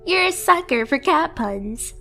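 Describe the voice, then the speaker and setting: A woman's voice: high voice, expressive, unhurried, creaky, pushed and bright, soft background music, exaggerated voice. A woman playing a devoted, anxious and possessive young catgirl pet in an ASMR anime roleplay, timid and hesitant as she pleads with the listener. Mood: nervous, tender.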